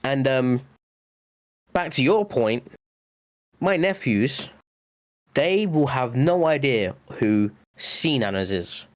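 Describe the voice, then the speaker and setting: A man's voice: mid-range voice, expressive, takes it slowly, pauses often. A young man from the UK co-hosting a casual podcast, chatty and nostalgic, swearing now and then and acting out his own reactions. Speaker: podcast co-host. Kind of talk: casual chat.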